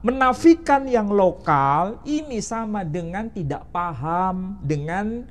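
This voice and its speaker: A man's voice: high voice, animated, slow. A man, an Islamic teacher, explaining religion in an interview and quizzing the interviewer with rhetorical questions.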